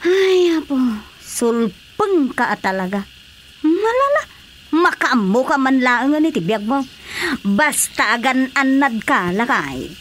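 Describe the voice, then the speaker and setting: A woman's voice: sits high, sing-song, slow, slight background noise, exaggerated voice. A woman acting an anxious, nagging fisherman's wife in an Ilocano-accented radio drama comedy, pleading and scolding. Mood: upset scolding. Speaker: voice actor.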